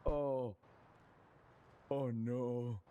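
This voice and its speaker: Man playing a slow-talking, bumbling trucker salesman. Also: medium pitch, fairly even intonation, mellow, clear, resonant tone, muffled recording. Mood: flustered. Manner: repetitive, earnest, flustered salesman patter. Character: bumbling, self-important male trucker hawking big business. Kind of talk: improvised game roleplay.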